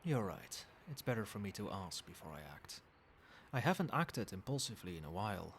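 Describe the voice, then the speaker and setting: Man playing a low, soft-spoken, possessive doting boyfriend. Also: brisk. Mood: quietly threatening. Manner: coaxing, tender, pet names, quietly controlling. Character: possessive, doting yandere boyfriend, soothing yet menacing. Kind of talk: soft-spoken ASMR roleplay. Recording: steady background noise, night ambience. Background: rain and cricket sounds.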